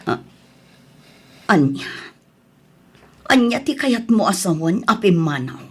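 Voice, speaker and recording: A woman's voice: mid-range voice, sing-song, slow, slightly rough, exaggerated voice. A woman with a Filipino accent playing a heartbroken, betrayed wife and mother in an audio drama, lamenting tearfully. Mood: sad, worried. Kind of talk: Ilocano audio drama acting.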